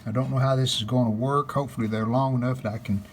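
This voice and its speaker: A man giving casual, plainspoken hands-on narration as the host of a repair video, unscripted and pausing mid-sentence. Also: mid-range voice, fairly even intonation. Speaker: host of a radio restoration video channel. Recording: clear background noise, room ambience.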